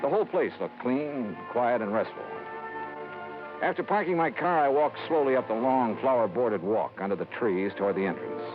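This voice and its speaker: An actor playing a hard-boiled, wry American insurance investigator, matter-of-fact and assured, in 1950s radio noir style. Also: male voice, medium pitch, expressive, normal speed, over music, close to an everyday voice. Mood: descriptive, calm. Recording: dull old radio recording.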